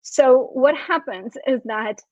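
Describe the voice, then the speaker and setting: A woman from France and Syria giving a reflective talk for an online expo, soft-spoken with frequent fillers. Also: high voice, takes it slowly, no echo, dull audio. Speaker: expo speaker, spiritual coach.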